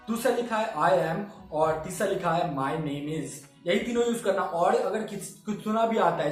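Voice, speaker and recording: Man, high voice, very echoey room, classroom teacher, checks understanding. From Bihar, India. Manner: mixes Hindi and English, asks "samajh mein?". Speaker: English teacher. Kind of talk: classroom lesson.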